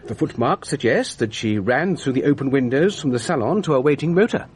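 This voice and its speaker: A pompous gentleman amateur detective acted by a man in a radio play, earnest and methodical. His formal delivery has a varied, lively melody, over faint background noise. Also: medium pitch, a little fast, close to an everyday voice. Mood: methodical, self-satisfied. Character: precise, earnest English gentleman amateur sleuth, rather pompous. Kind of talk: 1990s British radio drama acting.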